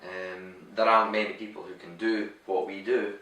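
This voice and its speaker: A Scottish man with a Scottish accent, a company director answering interview questions in a brisk, matter-of-fact way with dry humor. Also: low-pitched, level tone, bright, forceful tone. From Scotland. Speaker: managing director. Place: glassworks showroom. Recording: echoey room, voice a bit far from mic.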